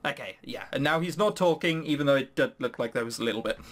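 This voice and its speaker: A man's voice: mid-range voice, animated, fast talker. A man giving casual, sarcastic gaming commentary with some swearing, sometimes reading game text aloud. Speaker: let's play host.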